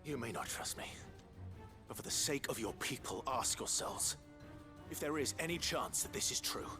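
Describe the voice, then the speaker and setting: An actor playing a stoic, steadfast heroic lord in a video game, measured and grave, with a hushed delivery. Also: male voice, medium pitch, expressive, close to an everyday voice. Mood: grave warning. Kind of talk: dramatic video game acting. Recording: loud music behind.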